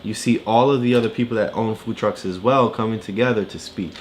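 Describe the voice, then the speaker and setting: A man's voice: fairly low pitch, fairly even intonation, fairly quick, slight background noise. A man explaining a story casually as a reading tutor, prompting with questions as he goes. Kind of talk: casual explaining during a read-along lesson.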